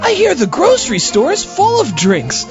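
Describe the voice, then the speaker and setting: A flustered, pedantic mad doctor stammers in a high, lively, drawn-out voice. It sounds like a lo-fi radio comedy. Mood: nervous, evasive. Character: flustered, henpecked eccentric mad scientist doctor, male. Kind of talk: comic radio sci-fi drama acting. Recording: dull lo-fi audio with music bed.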